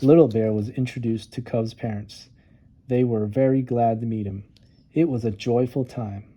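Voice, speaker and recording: A man narrating a children's storybook with expressive, dramatic emphasis on key words. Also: mid-range voice, moderate pace. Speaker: storytime reader.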